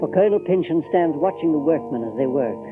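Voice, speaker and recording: An actor playing a brooding storyteller from a stern old family, addressing the listener with theatrical, rhetorical questions in an audio drama. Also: male voice, a little high, lively intonation, fast, close to an everyday voice. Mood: hushed excitement. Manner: theatrical, rhetorical, emphatic repetition. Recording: muffled lo-fi tape with loud music.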